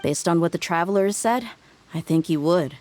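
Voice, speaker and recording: Game mercenary, female actor: young woman, slightly low register, confident, blunt, easygoing, light background noise. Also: a little fast, a little mellow, close to an everyday voice. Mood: thoughtful, assured. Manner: casual, assured, frank. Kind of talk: video game voice acting. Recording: faint game ambience behind.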